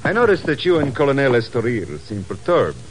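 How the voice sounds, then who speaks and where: An actor playing an obsequious, prying official in a 1950s radio drama, over-polite and insinuating. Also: male voice, mid-range voice, wildly swooping pitch, brisk, a little hiss or hum. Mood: oily, prying. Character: smooth, obsequious, prying foreign male official.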